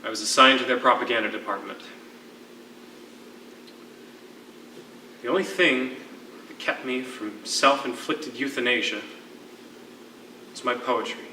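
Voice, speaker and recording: Stage role: wistful poet and ex-teacher in captivity played by a man, very bright forceful voice, eloquent phrasing, echoey distant recording. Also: medium pitch, a little hiss or hum. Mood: gentle, reflective. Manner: eloquent, theatrical, measured storytelling. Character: lyrical, wistful former poetry teacher, a political prisoner. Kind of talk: live one-act stage play.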